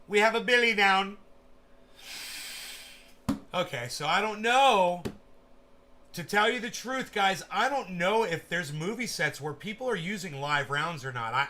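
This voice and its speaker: A man's voice: on the high side, sharp tone, a little hiss or hum. A man hosting a casual chat show, rambling and joking with the odd curse word. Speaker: host.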